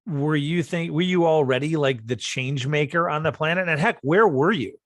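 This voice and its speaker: Guy hosting a podcast, slightly high voice, thoughtful and encouraging, dry close mic. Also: normal speed, no room sound. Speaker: podcast host. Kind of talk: casual podcast interview.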